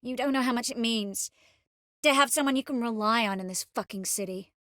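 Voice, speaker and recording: A woman playing a poised, wry, warm-hearted sorceress in a video game, composed and lightly teasing. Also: fairly high pitch, brisk, dry recording, clean studio sound, close to an everyday voice. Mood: weary, frustrated. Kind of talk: scripted game character dialogue.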